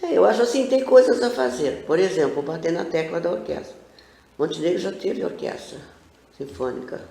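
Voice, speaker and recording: A woman's voice: fairly low pitch, moderate pace, gravelly. An older woman, a guest telling rambling stories with many asides in a casual TV interview. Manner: animated, rambling storyteller with frequent asides. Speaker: guest, local cultural figure. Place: TV studio. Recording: roomy studio echo.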